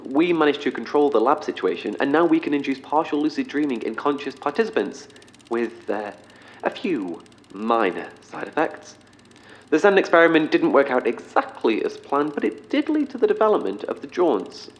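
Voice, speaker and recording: An actor playing an eccentric professor in an audio drama, speaking in a formal, wordy, slightly awkward corporate manner. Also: male voice, fairly high pitch, very animated, rough recording. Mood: defensive, halting. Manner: formal, wordy, halting under pressure. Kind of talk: orientation film and meeting speech in audio drama.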